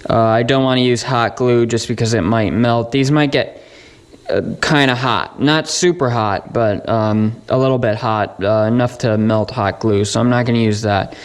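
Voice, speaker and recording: A man's voice: medium pitch, steady tone, moderate pace, smooth. A young man presenting a hands-on electronics build in a casual, matter-of-fact, informal way.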